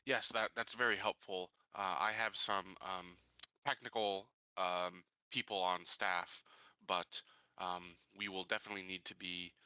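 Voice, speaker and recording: A man plays a businesslike sci-fi colony security chief in a tabletop game. His voice is lowish and strikingly bright, and he speaks in a measured, deliberate way, pausing to think. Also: fairly even intonation, clear, resonant tone, dry recording, exaggerated voice. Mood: cautious. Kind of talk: improvised in-character tabletop roleplay.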